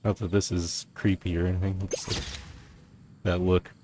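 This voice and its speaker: Deep-voiced man casually commenting on a game. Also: little pitch movement, normal speed, noisy background. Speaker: gameplay streamer.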